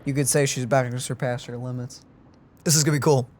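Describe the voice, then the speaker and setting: A woman hosting a gaming channel, reacting to a game with excited, playful comments. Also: a bass voice, fast, slight background noise.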